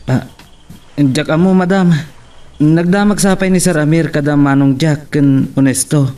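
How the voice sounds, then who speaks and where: A man's voice: on the high side, fairly even intonation, a little slow, dark tone, close to an everyday voice. A man with an Ilocano accent playing a hesitant, deferential office employee in a radio drama. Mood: hesitant, polite. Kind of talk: Filipino radio drama acting. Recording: slightly distant from the mic.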